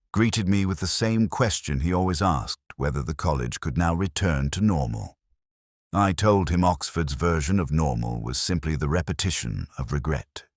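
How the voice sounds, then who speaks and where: A man reading a scripted first-person detective story in a measured, brooding, reflective way. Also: rumbling low, no echo, clean studio sound. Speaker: narrator.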